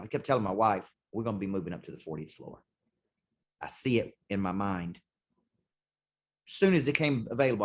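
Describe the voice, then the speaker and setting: A man, a sales coach, giving an intense motivational talk with punchy repetition, slowing down to hammer key points. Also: fairly low pitch. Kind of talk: motivational talk to a show host. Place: condo.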